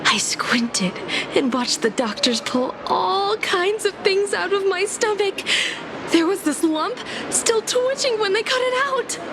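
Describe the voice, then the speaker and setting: A woman's voice: very high voice, lively intonation, takes it slowly, background noise, full character voice. A woman voicing a young hospital patient who is eerily chipper in a video game.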